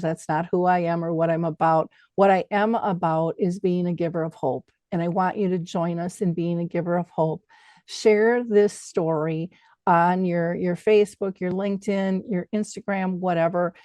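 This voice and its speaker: A woman's voice: on the low side, fairly even intonation, normal speed, dark tone, no echo. A woman talking conversationally as the host of an interview show.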